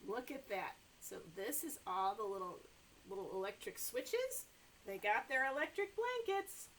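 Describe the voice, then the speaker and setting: A middle-aged American woman reading and chatting to toddlers as a story time host, in an animated, exaggerated way, recorded indoors by a camera a short way in front of her. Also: a little high, a little slow, slight background noise, rough recording. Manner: animated, exaggerated expression for young children. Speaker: children's librarian hosting story time. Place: small indoor room with sofa.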